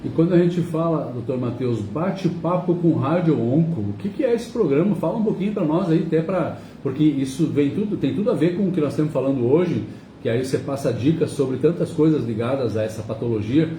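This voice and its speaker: A man's voice: a little high, expressive, a little fast, warm tone, a little hiss or hum. A man hosting a radio talk show, asking his guests long, conversational questions. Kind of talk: casual interview chat. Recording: echoey room, mic at a distance.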